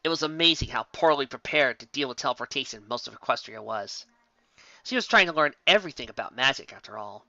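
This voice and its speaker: A narrator giving an expressive storytelling reading of fan fiction. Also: medium pitch, fast talker, creaky, no echo. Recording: dull, muffled home recording.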